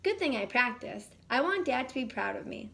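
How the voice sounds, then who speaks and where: A woman voicing an eager, excitable young male cartoon sea sponge in a storybook, with bubbly enthusiasm. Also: a little high, moderate pace, creaky, exaggerated voice. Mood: confident.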